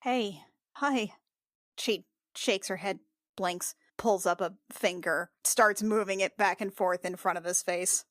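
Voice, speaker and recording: A woman's voice: lowish voice, fairly even intonation, a little slow, fairly bright tone, no echo, studio quality. A woman playing a tabletop role-playing game, casually narrating what her character does.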